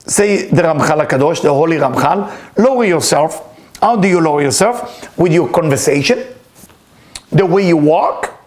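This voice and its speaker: A man, a Hebrew speaker, giving an earnest, emphatic weekly spiritual talk. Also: high-pitched. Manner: earnest, emphatic, repeats key words. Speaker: spiritual teacher.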